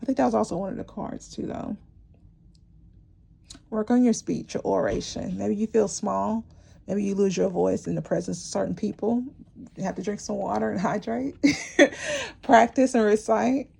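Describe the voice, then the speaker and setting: A woman giving a rambling, encouraging tarot reading to her viewers, her voice worn and going hoarse, with many fillers and restarts. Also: medium pitch, animated, normal speed, a little mellow. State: losing her voice, clearing her throat. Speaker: tarot reader. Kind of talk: intuitive tarot reading to camera.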